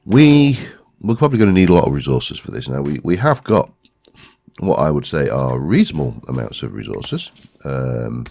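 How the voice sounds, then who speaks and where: A man's voice: rumbling low, sing-song, moderate pace, gravelly, a little mellow. A man with a stuffy head cold, hosting a gaming video with casual, rambling commentary and lots of ums. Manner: rambling, talks to himself while searching, many ums.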